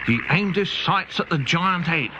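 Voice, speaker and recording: Lively man reading a children's story. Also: on the high side, unhurried, background noise. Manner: animated, playful storytelling. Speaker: audiobook narrator. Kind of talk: scripted children's storybook narration. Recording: dull cassette tape with music.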